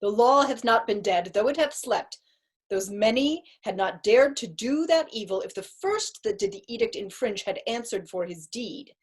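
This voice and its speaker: A woman's voice: on the high side, animated, moderate pace, slightly rough. A woman playing a stern, unbending male judge in a staged Shakespeare reading, firm and formal in manner.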